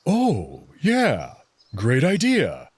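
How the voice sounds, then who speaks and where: A man voicing a proud, confident young alien wolf prince in a visual novel, friendly but full of hubris, speaking with expressive self-assurance. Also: medium pitch, very slow, breathy edge, no echo, heavily acted. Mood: playful, eager.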